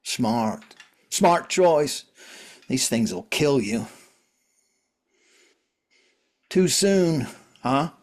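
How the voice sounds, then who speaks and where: A man playing a world-weary, wry survivor in a drama reading, talking in a halting, conversational way. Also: mid-range voice, animated. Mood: wry, grim.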